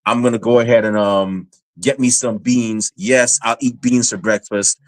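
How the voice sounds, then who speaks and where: A man's voice: medium pitch, steady tone, normal speed, no room sound. A man from Guyana hosting a casual podcast interview, hyped in his introductions and rambling with many fillers. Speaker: podcast host.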